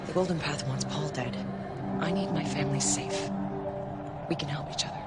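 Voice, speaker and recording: An actor playing a grave, trapped collaborator in a video game drama, speaking in a measured, earnest, pleading way. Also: male voice, on the high side, over loud music, close to an everyday voice. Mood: urgent, guarded.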